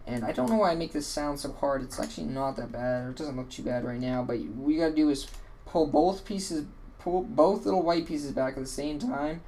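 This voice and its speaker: A man's voice: fairly high pitch, fairly quick, dark tone, a little hiss or hum. A man hosting a casual how-to walkthrough, talking in a rambling, offhand way and correcting himself often, his voice tired and a little worn. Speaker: tutorial host.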